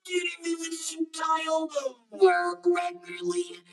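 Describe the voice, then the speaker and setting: Female amateur comic narrator; strikingly high pitch, unhurried scripted read. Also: completely flat delivery, creaky. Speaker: amateur voice-over reader.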